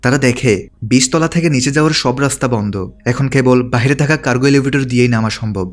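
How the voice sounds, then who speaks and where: A man's voice: medium pitch, fast. A man delivering scripted narration as the narrator of a movie recap. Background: background music.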